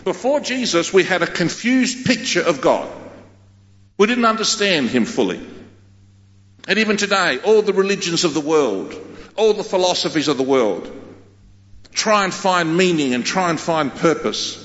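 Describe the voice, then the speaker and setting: Animated preacher in an echoey hall. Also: male voice, high voice, moderate pace. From Australia. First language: Greek. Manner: emphatic preaching with repeated phrases. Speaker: pastor. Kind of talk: sermon. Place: large church hall. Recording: echoey hall, dull sound.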